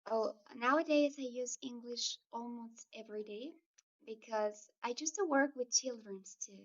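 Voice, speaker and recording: A woman's voice: fairly high pitch, normal speed. A woman who tutors children, talking at length in a casual video call, earnest and restarting her phrases. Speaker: student and children's language tutor. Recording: distant muffled video-call audio.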